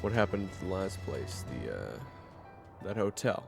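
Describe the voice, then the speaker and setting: A man playing a gruff, hot-headed and easily flustered monster fighter who mocks and blusters in an audio roleplay. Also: low-pitched, sing-song, normal speed, a little mellow, soft background music, exaggerated voice. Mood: mocking.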